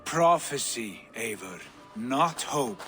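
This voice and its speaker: An actor playing a fervent Viking warrior prince in a video game, speaking in grand, poetic phrases. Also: male voice, medium pitch, animated, takes it slowly, sharp tone, faint music behind. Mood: commanding. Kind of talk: cinematic video game acting. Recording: game audio with horses and outdoor ambience.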